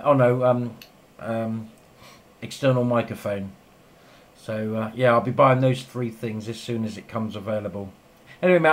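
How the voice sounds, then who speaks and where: A man chatting casually and enthusiastically over amateur radio in a rambling way. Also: lowish voice. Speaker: amateur radio operator, video maker. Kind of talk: casual two-way radio chat. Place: home radio shack. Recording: clear background noise in room.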